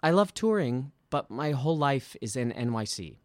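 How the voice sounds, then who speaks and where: A man's voice: mid-range voice, sing-song, normal speed, no echo. Man reading a personal essay, dry close sound. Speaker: musical theatre actor. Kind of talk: scripted personal essay read aloud.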